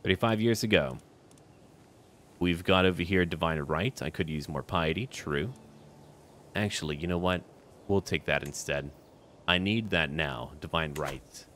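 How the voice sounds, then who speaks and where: A man's voice: sits low, unhurried, long pauses, a bit bright, slight background noise. A man giving in-character commentary on a strategy game he is playing, thinking aloud in short, clipped remarks as a ruler. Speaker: gaming video host.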